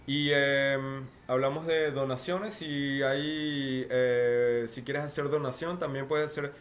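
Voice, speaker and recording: A middle-aged man with a Venezuelan accent co-hosts a laid-back podcast, speaking at medium pitch. He talks with frequent fillers and pauses. He is recorded through a headset microphone in a small room at home. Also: steady tone, slight background noise. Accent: Venezuelan Spanish. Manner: halting, many fillers. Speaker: podcast co-host. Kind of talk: casual chat.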